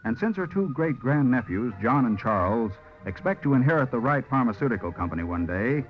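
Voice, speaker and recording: A man's voice: medium pitch, lively intonation, a little fast. Old time radio announcer guy, big swooping intonation, music behind, muffled sound. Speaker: announcer and narrator. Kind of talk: 1940s radio announcing, scripted narration. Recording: dull old radio recording.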